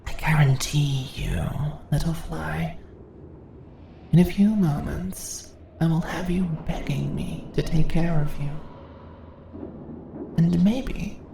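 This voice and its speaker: A woman acts out a predatory female spider monster in a fantasy ASMR roleplay. She speaks extremely slowly in a low, breathy voice with some creak, slipping into whispers. Her manner is seductive, mocking and in control, heard in a slightly echoing space. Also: dark tone, exaggerated voice. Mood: teasing, seductive. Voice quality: breathy, often dropping into whispers. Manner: slow, teasing, condescending, drawn-out questions. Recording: roomy echo, faint background noise.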